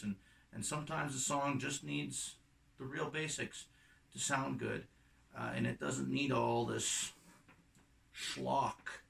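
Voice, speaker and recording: A middle-aged man speaks slowly in a gravelly, slightly croaky voice, a little away from the microphone. He sounds like a musician chatting between songs, reflective and halting, with phrases that trail off softly. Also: medium pitch, recorded from a distance. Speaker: acoustic folk and bluegrass musician. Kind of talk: casual, reflective talk between songs at a concert.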